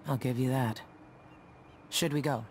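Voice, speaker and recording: An actress as a frank female ship captain in a video game. Her voice is very low for a woman and matter-of-fact. There is slight noise in the background. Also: fairly even intonation, unhurried, a little mellow. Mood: frank, dry.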